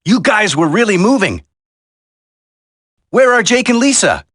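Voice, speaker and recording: A man's voice: high-pitched, very animated, unhurried, a bit bright, dry recording, studio quality, full character voice. A man voicing an earnest special forces soldier in a video game, dramatic and urgent. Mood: businesslike. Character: earnest male special forces soldier, determined, worried for comrades.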